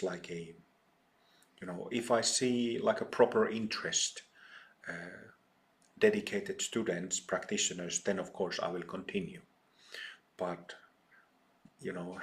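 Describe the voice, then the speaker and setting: A middle-aged man with a Finnish accent gives a dharma talk to a small retreat group. His voice sits fairly low, and he speaks very slowly, pausing often and filling the gaps with ums and uhs. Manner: slow, hesitant, many ums and pauses. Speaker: meditation teacher.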